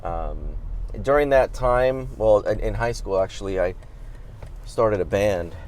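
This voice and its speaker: A man's voice: on the low side, unhurried, a little mellow, a little hiss or hum. A man in his late thirties from Southern California, a trial lawyer chatting casually on a podcast, self-deprecating and rambling with frequent restarts. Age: 30s.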